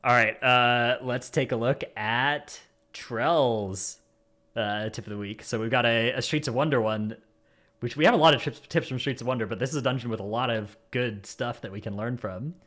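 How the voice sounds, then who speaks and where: A man's voice: medium pitch, moderate pace, fairly bright tone. A man hosting a gaming podcast in a casual, chatty style. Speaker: podcast host.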